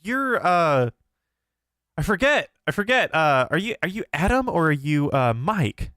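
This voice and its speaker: A man's voice: on the high side, sing-song, a little slow, a bit bright, dry recording. Nasal-voiced young man, close and dry. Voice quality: somewhat nasal. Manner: thoughtful, hesitant, many fillers and restarts. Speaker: philosophy livestream host. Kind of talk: casual livestream Q&A, answering chat.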